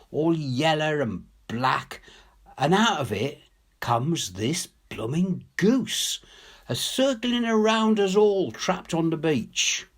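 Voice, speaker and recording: A man's voice: a little high, slightly rough, voice pushed up, full character voice. A man reading a story as a working-class English soldier, telling his war tale colloquially, wry and awed. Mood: excited, awed. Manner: colloquial, ungrammatical, animated storytelling. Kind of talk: dramatic audiobook reading.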